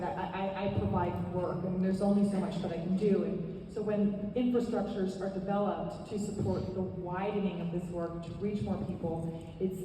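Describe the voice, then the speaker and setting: A woman's voice: medium pitch, dark tone, a little hiss or hum. A woman moderating a conference panel as a therapist, often repeating words as she begins. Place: large conference hall. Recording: echoey hall, distant mic.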